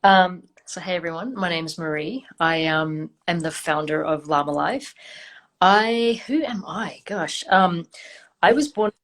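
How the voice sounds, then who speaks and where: A woman, a founder and interview guest, answering in a thoughtful, measured way with hesitant fillers. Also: on the low side. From Australia.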